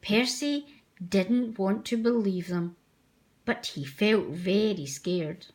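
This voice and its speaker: A woman's voice: medium pitch, slow. A woman narrating a children's storybook aloud. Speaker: storybook reader.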